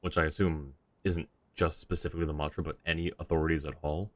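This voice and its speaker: A man's voice: extremely low pitch, level tone, fast talker, no echo. A man livestreaming a game, giving casual, offhand, musing commentary close to the microphone.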